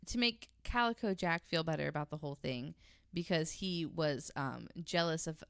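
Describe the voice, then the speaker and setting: A woman's voice: low-pitched, normal speed, creaky, a bit bright, smooth, no room sound, studio quality. A woman telling a story in casual, chatty conversation, informal and given to jokey asides. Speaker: podcast guest panelist telling a story.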